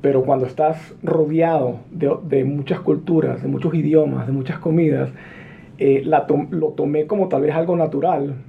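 Gravelly man on a phone line. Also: fairly high pitch, normal speed. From Venezuela. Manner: friendly, relaxed storytelling with frequent fillers. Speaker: interview guest, business executive. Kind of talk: conversational interview answers. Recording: muffled phone line with faint noise.

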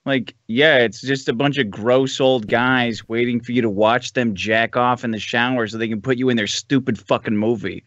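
A man's voice: medium pitch, steady tone, normal speed, few pauses, fairly bright tone, no echo, dull audio. A man appearing as a podcast guest, ranting casually and comedically in a crude, sardonic, profane way. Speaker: podcast guest, show host himself.

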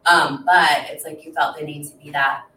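Woman in her 30s, low voice, bright tone, chatty with lots of ums, echoey room, bit far from mic. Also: steady tone, moderate pace, slight background noise. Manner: chatty, many fillers. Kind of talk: casual chat.

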